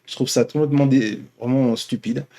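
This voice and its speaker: Guy doing a casual opinion vlog, medium pitch, rambles and keeps restarting his phrases. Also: fairly even intonation, moderate pace, dark tone. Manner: hesitant, rambling, often repeats and rephrases words. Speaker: host of an online opinion vlog. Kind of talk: casual unscripted opinion monologue.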